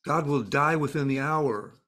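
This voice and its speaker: A man voices a mysterious spirit in an audio drama, with a slightly high male voice. It is world-weary and blunt, handing down cryptic pronouncements as plain matters of fact. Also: a little slow, sharp tone. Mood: scornful, solemn. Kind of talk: dramatised story dialogue.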